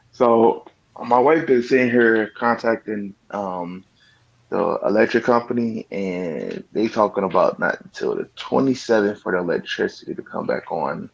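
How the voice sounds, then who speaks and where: Low-voiced man on a muffled phone line. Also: creaky, husky. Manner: easygoing, halting, many ums. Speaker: podcast co-host. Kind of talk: casual gaming podcast chat. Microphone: cell phone. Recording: dull lo-fi phone audio.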